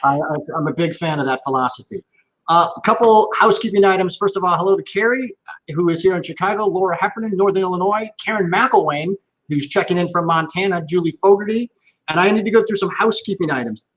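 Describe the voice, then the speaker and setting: An upbeat male host keeps a streamed wine tasting moving with chatty jokes and housekeeping notes. His voice sits slightly high, and he talks over a video call setup. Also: fast, a bit bright, rough recording. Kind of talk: live-streamed interview.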